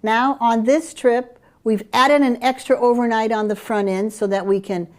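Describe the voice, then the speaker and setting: A middle-aged woman from Curaçao with a Dutch Caribbean accent, presenting group trips in an eager sales pitch. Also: fairly even intonation, moderate pace, a little mellow. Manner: enthusiastic sales pitch with fillers. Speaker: travel program presenter. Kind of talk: promotional chat about group tours.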